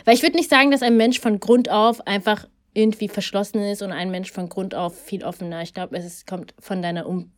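A woman's voice: medium pitch, fairly even intonation, brisk, few pauses. A young woman who grew up in Germany, talking in a thoughtful, hedging way in a casual conversation and now and then using English phrases. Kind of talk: casual chat.

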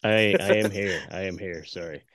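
A man's voice: low voice, normal speed, a bit bright, dry recording, studio quality. A man co-hosting a show, asking casual questions over a dull, lo-fi recording. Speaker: show co-host. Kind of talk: casual interview chat. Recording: dull, lo-fi sound.

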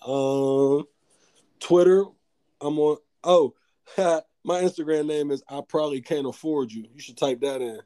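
Deep voiced rapper dude talking loose and slangy, cussing a lot, warm dark tone. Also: male voice, steady tone, measured pace. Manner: loose slangy talk, swears freely. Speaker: rapper guest. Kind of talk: casual interview chat.

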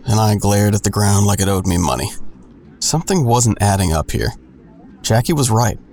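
A man's voice: on the low side, creaky, a little mellow, slight background noise. An actor playing a jaded man who narrates his own story in an audio drama with wry, sardonic delivery. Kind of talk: first-person noir-like audio drama narration.

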